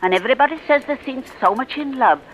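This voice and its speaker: A woman's voice: high voice, animated, fast talker, fairly bright tone, slight background noise, low-quality recording. An actress playing a brusque, nosy working-class woman who calls people dearie, in a 1940s radio drama. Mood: gossipy. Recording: old radio broadcast with music.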